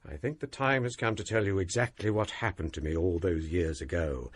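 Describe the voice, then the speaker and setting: An actor playing a genial, scholarly college provost who tells ghost stories, speaking in a courteous, measured way. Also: male voice, low-pitched. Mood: storytelling. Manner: courteous, measured, theatrical storyteller. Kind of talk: radio drama acting.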